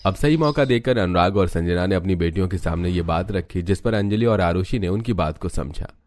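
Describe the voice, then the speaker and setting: A man's voice: deep voice, brisk, a little mellow, slight background noise. A man narrating a scripted family story for a cartoon, as a storyteller.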